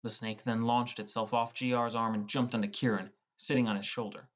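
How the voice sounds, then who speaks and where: Man narrating an audiobook, medium-pitched voice. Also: level tone, fairly quick, a bit bright. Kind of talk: first-person scripted narration.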